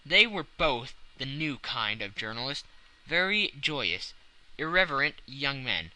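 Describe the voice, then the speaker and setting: A man's voice: medium pitch, very animated, measured pace, sharp tone, rough recording. A man narrating classic fiction as a dramatic scripted audiobook.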